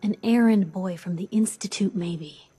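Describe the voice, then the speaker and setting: A woman's voice: mid-range voice, unhurried, warm tone, slightly rough, soft background music. An actress playing a brash, nosy young female reporter in a video game, sarcastic and pushy. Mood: sardonic, probing.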